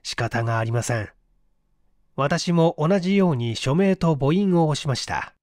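A man's voice: fairly high pitch, animated, moderate pace, darkish tone, no echo, clean studio sound. A man reading scripted story narration as an audiobook narrator.

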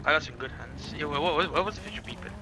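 A man's voice: fairly high pitch, lively intonation, fast. A man bantering casually as a player in a roleplay game. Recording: loud vehicle noise behind the voice. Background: car engines in game.

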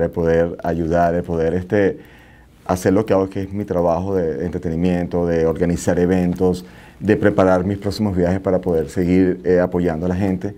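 A middle-aged Venezuelan-accented man chats as a studio guest. His voice is deep and mellow, and he talks quickly. He often repeats a phrase to press his point. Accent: Venezuelan Spanish. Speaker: interview guest, nightclub entrepreneur. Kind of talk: casual interview talk. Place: small indoor TV studio.

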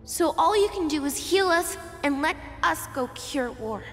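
A young warrior girl in a fantasy game, earnest and unbending. Her high voice is solemn and unhurried, carried in long reverb with music underneath. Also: lively intonation, fairly bright tone. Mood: solemn defiance. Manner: solemn, deliberate, determined. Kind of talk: dramatic game voice acting.

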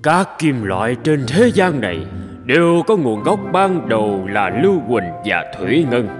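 A man voicing a proud, secretive old alchemist in a cartoon, lecturing in a grandiose, deliberate way. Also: mid-range voice, sing-song, long reverb tail, over music. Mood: proud, mysterious. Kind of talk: animated tale dubbing.

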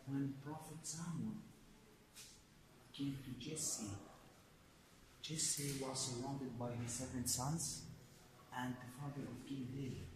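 A man's voice: mid-range voice, steady tone, slow, long pauses. A man, working as a local guide and explaining the site in simple, inside a large stone church, recorded on a handheld phone. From Bethlehem. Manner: simple non-native English, explaining steadily. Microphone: handheld phone camera. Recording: echoey church with loud background noise.

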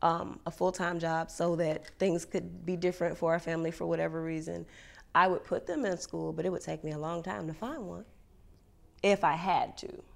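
A woman's voice: fairly low pitch, little pitch movement, moderate pace, gravelly. A woman in her forties, a homeschooling parent, speaking emphatically in an interview.

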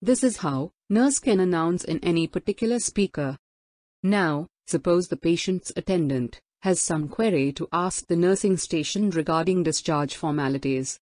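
A woman's voice: lowish voice, normal speed, a little mellow, dry recording. A woman giving a formal, instructional scripted product demo narration. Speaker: product demonstrator.

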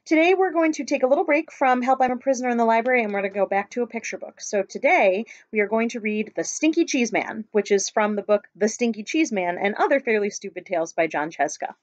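A woman in her thirties reading a picture book aloud to schoolchildren with expressive storytelling. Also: fairly high pitch, fast, hardly any pauses, dry recording. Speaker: school staff reading to pupils. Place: small book-lined room at home. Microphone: webcam at arm's length. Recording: dull, lo-fi webcam sound.

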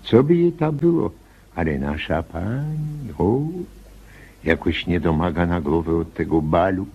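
An actor playing a deferential, folksy, rambling household servant in an old-fashioned radio play. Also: male voice, low-pitched, lively intonation, unhurried, husky, highs cut off, heavily acted. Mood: chatty, complaining. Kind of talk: radio drama acting.

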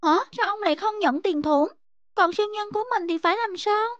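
A woman's voice: very high-pitched, brisk, a bit bright, no echo, muffled recording. A woman voicing an eager, chatty, slightly whiny little child in a cartoon. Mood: dismayed. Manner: childish, chatty, polite to elders. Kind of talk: cartoon voice acting. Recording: music playing behind the voice.

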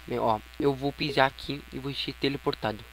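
A man's voice: mid-range voice, fairly even intonation, fairly quick. A Brazilian man giving casual, slangy gameplay commentary, often muttering to himself. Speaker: gaming YouTuber. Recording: noisy home recording with electrical hum.

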